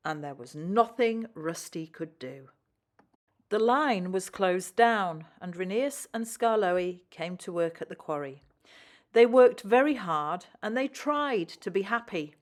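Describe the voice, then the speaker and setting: Animated woman reading a children's story. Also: medium pitch.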